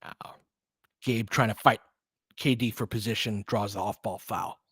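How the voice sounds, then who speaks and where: A man's voice: fairly low pitch, fairly even intonation, measured pace, a bit bright, breathy edge, no room sound. A man hosts a live audio basketball call, mixing play-by-play with loose analysis. He is informal and openly partisan, rooting for his team. The sound is close and dry. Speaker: play-by-play commentator and host.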